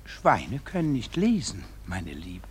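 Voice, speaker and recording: An actor in a radio play as a sly, henpecked husband who answers his wife in a falsely sweet, soft way. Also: on the low side, wildly swooping pitch, takes it slowly, husky, full character voice. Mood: oily.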